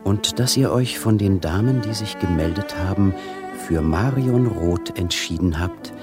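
An actor playing a suave, flattering gentleman swindler, courtly and measured, reading a letter in a radio play. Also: low voice, over music. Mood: suave, soothing.

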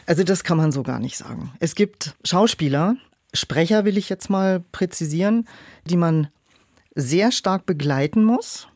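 A woman's voice: lowish voice, animated, measured pace, darkish tone, dry recording, studio quality. A woman speaking in a reflective interview as an audiobook director, explaining things and illustrating them with quoted asides. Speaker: audiobook director and producer, podcast guest.

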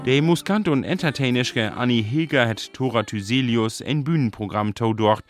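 A man with a Northern German, Low German accent narrating a scripted radio feature as its reporter. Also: normal speed, faint music behind. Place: radio studio.

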